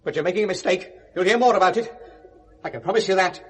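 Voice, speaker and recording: An actor playing a panicky, slippery crook, flustered and indignant, in a 1970s radio drama. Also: male voice, high voice, fast, slightly rough, big-room sound. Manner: flustered, blustering.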